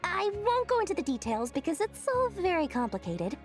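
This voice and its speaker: A woman's voice: very high voice, wildly swooping pitch, a little fast, full character voice. A woman playing a small, shrewd, boastful merchant girl in a video game, with a theatrical, sly delivery. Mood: explaining, confident. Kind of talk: animated video game character acting. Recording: game music behind the voice.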